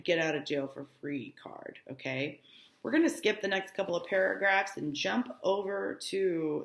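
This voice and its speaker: A woman's voice: fairly low pitch, reverberant. A woman, a teacher reading a novel aloud and breaking off to explain it plainly. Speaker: high school English teacher.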